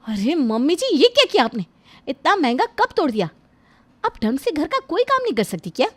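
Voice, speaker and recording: A woman doing a sharp-tongued young daughter-in-law. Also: sits high, normal speed, dry recording, pitched above the performer's own voice. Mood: angry. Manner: snappy, scornful, lively.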